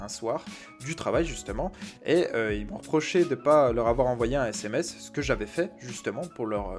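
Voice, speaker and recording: A man in his twenties from France, telling his own story in a casual vlog, full of "euh" and "bah" fillers. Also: medium pitch. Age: 20s. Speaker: YouTuber.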